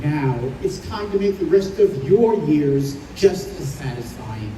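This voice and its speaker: A man playing a calm, knowing escort of departed souls in an old-time radio play, recorded live in a roomy space. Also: on the high side, a little slow. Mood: calm, solemn. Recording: roomy live stage recording, distant, faint noise.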